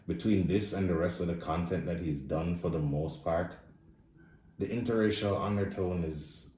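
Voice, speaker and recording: A man's voice: low voice, moderate pace, dark tone, roomy sound, recorded from a distance, a little hiss or hum. A man with a Jamaican accent giving a blunt, profane, casual movie review. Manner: blunt, profane, speaks straight with no filter. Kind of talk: casual opinionated review monologue.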